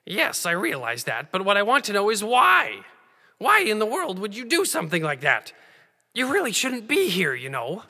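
Man voicing a fussy grumbling rabbit, very animated. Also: high voice, normal speed, big-room sound, full character voice. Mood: exasperated. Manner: exasperated, scolding, old-fashioned quaint phrasing. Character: fussy, grumbling, anxious talking male rabbit.

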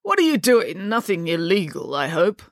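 A woman's voice: fairly low pitch, sing-song, moderate pace, no room sound, clean studio sound. A woman voicing a stuffy, pompous middle-aged father who is a lawyer, blustering and nervous.

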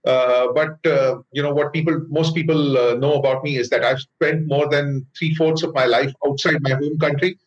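A middle-aged man with an Indian accent, a business leader answering interview questions in a measured way, often pausing with "uh". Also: fairly high pitch, fairly even intonation, highs cut off. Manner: thoughtful, with frequent "uh" pauses.

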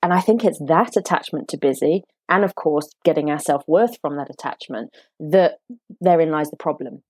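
A woman with a British accent explains her ideas in a calm, measured way as a podcast guest. Her voice sits fairly low for a woman, and the recording is dry, with no room sound. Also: no echo. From United Kingdom. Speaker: guest, author and coach. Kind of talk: podcast interview.

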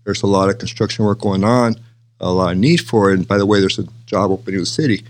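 An older man from Texas speaks as an interview guest in a fairly low voice. He moves along at a quick clip, explaining things conversationally and punctuating points with "right?" and "you know". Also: a little hiss or hum. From El Paso, Texas, United States. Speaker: interview guest, city manager.

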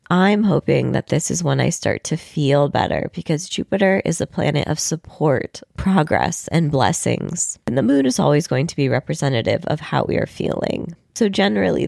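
A low-pitched woman whose hoarse voice sounds gravelly and strained. She talks through a daily astrology podcast in a chatty, informal way. The recording is close and dry. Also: darkish tone, no room sound. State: hoarse, losing her voice. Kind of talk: solo podcast horoscope reading.

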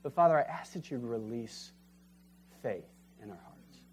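A man preaching a sermon as pastor and leading a closing prayer, fervent, swinging from emphatic peaks to hushed prayer. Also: medium pitch, lively intonation, normal speed, slightly rough. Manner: fervent, rising to emphatic peaks then dropping to quiet prayer. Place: church sanctuary.

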